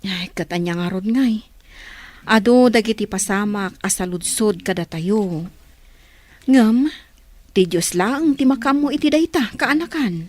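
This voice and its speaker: A woman's voice: mid-range voice, lively intonation, a little slow, pauses often, a little mellow. A woman playing a kindly, pious middle-aged village auntie in a radio drama, with an Ilocano Filipino accent. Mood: earnest, reassuring.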